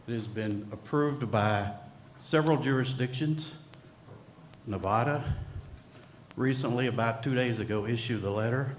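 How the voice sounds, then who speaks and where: A man presenting at a conference podium, relaxed and folksy. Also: medium pitch, slight background noise. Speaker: company executive presenting at a conference. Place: conference hall. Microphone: podium microphone. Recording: echoey conference hall.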